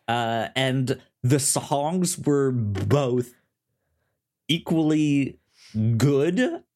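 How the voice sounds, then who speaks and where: A man co-hosting a casual podcast, speaking haltingly and repeating words and syllables as he goes. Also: mid-range voice, sing-song, mellow. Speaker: podcast co-host.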